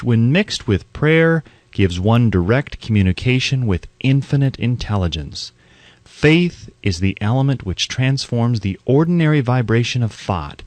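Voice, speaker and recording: Male narrator for self-help audiobook, animated intonation, deliberate emphasis, dry studio-style sound. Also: medium pitch, dry recording.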